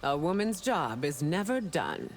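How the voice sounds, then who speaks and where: An actress playing a proud, imperious, ageless empress in a video game, regal and cutting in manner. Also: female voice, deep voice, big pitch swings, unhurried, over loud music. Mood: regal, haughty. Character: proud, imperious empress, thousands of years old. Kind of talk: video game character dialogue.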